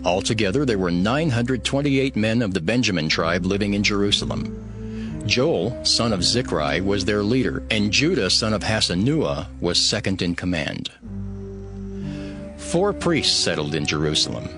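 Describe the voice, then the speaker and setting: A man reading scripture as a scripted audio Bible narration, in a measured, formal way. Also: fairly low pitch, lively intonation. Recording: music behind the voice. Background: background music.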